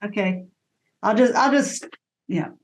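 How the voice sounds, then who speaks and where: A woman's voice: medium pitch, unhurried. A woman co-hosting an informal interview over a video call, chatting casually. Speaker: interview co-host.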